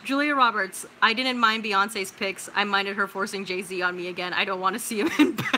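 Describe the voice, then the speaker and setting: Bright-voiced young American woman livestreamer chatting. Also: medium pitch, moderate pace, slight background noise, poor audio. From California, United States. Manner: informal, expressive, slangy and swearing. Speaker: livestream host. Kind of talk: casual livestream chat, reading out viewer comments and reacting.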